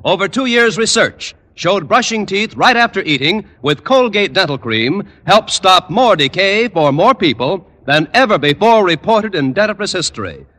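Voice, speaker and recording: High, bright-voiced male radio announcer. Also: big pitch swings, normal speed, hardly any pauses. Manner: earnest, polished sales delivery. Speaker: commercial announcer. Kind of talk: 1950s radio advertisement, scripted. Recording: reverberant old radio recording.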